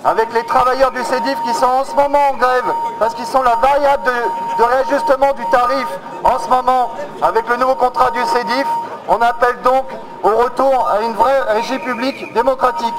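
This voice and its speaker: A man delivers a militant political speech in a very high voice. He is emphatic and demanding, speaking as a protester to a public meeting. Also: steady tone, normal speed, over a noisy crowd, rough recording. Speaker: protester addressing a council meeting.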